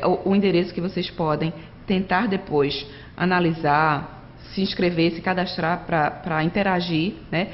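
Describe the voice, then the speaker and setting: A woman's voice: on the low side, muffled recording, slight background noise. A woman teacher giving a recorded distance-learning lecture, talking conversationally and often checking in with tag questions. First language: Portuguese. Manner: conversational, frequent tag questions, addresses students directly.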